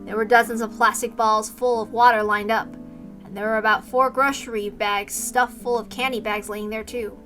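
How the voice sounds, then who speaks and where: A woman streamer reading a visual novel aloud as expressive story narration. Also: on the high side, moderate pace, faint music behind.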